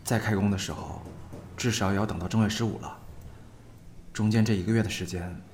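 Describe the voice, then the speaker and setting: A man's voice: on the low side, fairly even intonation, a little fast, darkish tone, a little hiss or hum, close to an everyday voice. A man playing an earnest, deferential young actor in an audio drama, speaking politely and hesitantly. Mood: subdued, firm. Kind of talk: acted dramatic dialogue.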